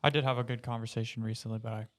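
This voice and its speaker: A man speaks in a flat, mid-pitched voice in a casual podcast chat. He feels his way through sentences haltingly, searching for the right words. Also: background noise. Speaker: podcast panelist.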